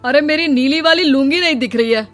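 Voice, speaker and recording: A woman's voice: high voice, fairly quick, fairly bright tone, soft background music, voice pushed up. A woman voicing an exasperated, grumbling middle-aged Indian village father in a cartoon story. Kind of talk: Hindi animated comedy story acting.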